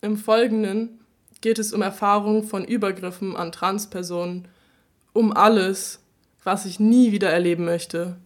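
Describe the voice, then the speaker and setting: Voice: mid-range voice, steady tone, normal speed. A performer delivering a scripted radio-play monologue in a firm, direct, confrontational way.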